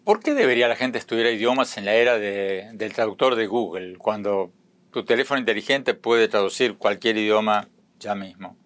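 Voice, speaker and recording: A middle-aged man from Argentina, hosting a broadcast interview and asking measured, earnest questions. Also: fairly low pitch, fairly bright tone. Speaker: news show host.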